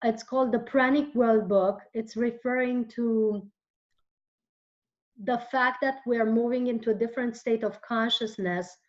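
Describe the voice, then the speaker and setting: A woman whose first language is Romanian, answering interview questions as a guest author in a slow, searching way with frequent restarts. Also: a little high, steady tone, dull audio.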